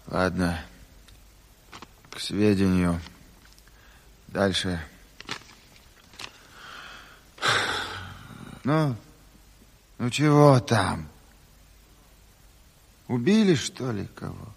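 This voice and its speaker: A man's voice: low-pitched, expressive, drawn-out words, gravelly, long pauses, husky, exaggerated voice. An actor playing an exhausted, sick, stubborn committee chairman in a radio drama, curt and grumbling. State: weary, sick. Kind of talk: theatrical radio drama acting. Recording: 1970s radio play.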